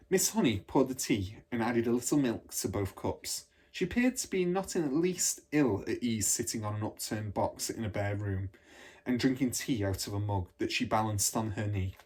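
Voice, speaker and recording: A man's voice: medium pitch, darkish tone, husky. A man narrating a children's story from a book. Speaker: storybook reader.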